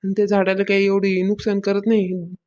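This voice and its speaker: A woman's voice: medium pitch, little pitch movement, brisk, darkish tone, lightly acted. A woman playing an aggrieved village housewife in a cartoon comedy, complaining colloquially with a rural Maharashtrian accent. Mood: pleading. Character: aggrieved village housewife fretting over her worship. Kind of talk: amateur cartoon comedy dialogue.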